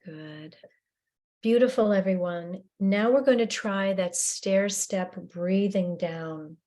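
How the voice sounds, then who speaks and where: A woman leading a guided breathing meditation in a slow, gentle, soothing way with long pauses. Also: mid-range voice, steady tone.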